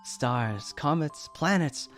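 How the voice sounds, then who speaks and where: A man's voice: fairly high pitch, lively intonation, slow, fairly bright tone, faint music behind. A man voicing a small star creature who explains things plainly. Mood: explaining.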